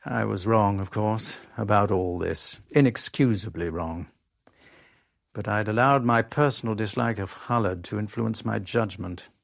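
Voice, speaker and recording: An actor playing a sceptical, self-assured foreign correspondent in a radio drama, with a wry and dismissive manner. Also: male voice, lowish voice, measured pace, creaky, dry recording, clean studio sound. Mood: reflective. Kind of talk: radio drama acting and first-person narration.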